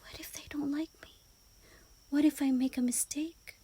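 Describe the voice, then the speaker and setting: A woman voicing a shy, anxious young animal girl with a hushed, fretful manner in a children's story. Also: high voice, steady tone, normal speed, a little hiss or hum, voice pushed up, close to an everyday voice.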